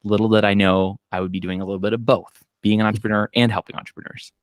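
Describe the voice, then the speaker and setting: Low-voiced Minnesotan man, relaxed storyteller. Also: fast talker, no echo, studio quality. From Minnesota, US Midwest. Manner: relaxed storytelling with frequent fillers and quoted asides. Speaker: accountant and business owner. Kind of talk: casual podcast conversation. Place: home office. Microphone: video call.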